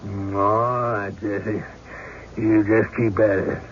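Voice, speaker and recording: An actor playing a weary, folksy small-town sheriff in an old-time radio drama, plain-spoken and reluctant. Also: male voice, fairly low pitch, fairly even intonation, slow. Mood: skeptical, deliberate. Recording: dull, lightly noisy radio recording.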